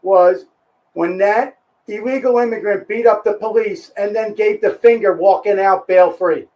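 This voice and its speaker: High-voiced excitable male host. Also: normal speed, rough recording. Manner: excitable, chimes in over his guest. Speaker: show host. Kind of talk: casual political chat.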